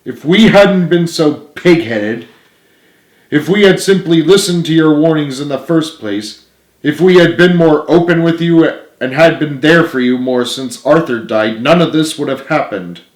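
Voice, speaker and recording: A man's voice: on the high side, unhurried, voice pushed up, natural voice. Guy reading as a loyal male friend, heartfelt and pleading, storybook drama, echoey room. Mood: remorseful, pleading. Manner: pleading, emphatic. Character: earnest, remorseful, encouraging man, loyal friend. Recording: roomy, echoing room sound.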